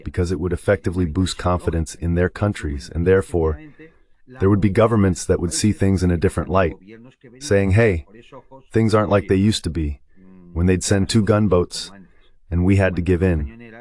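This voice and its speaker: Very deep-voiced man giving political analysis. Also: moderate pace, darkish tone. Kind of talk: translated voice-over of geopolitical analysis in a live talk.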